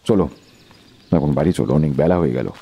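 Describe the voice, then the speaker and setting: A man playing a calm, authoritative detective in an audio drama, questioning in a measured, polite but blunt way. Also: rumbling low, vocal fry, husky, full character voice. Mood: pensive.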